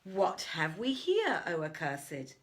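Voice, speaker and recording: A woman's voice: on the low side, huge pitch swings, slow pace, exaggerated voice. Woman reading as a stern, scolding governor. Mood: scornful. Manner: commanding, scolding. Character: stern male governor, scornful and commanding. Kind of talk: dramatic storybook reading.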